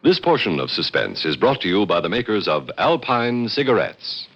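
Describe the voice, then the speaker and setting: A man's voice: lowish voice, big pitch swings, moderate pace. A man introducing a vintage radio drama as its announcer. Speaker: program announcer. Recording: dull old radio recording.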